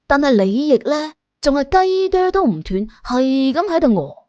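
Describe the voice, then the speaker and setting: Expressive woman storyteller, very animated intonation. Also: sits high, normal speed, no echo, highs cut off. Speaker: audiobook narrator. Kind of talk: scripted suspense storytelling in Cantonese. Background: music under the voice.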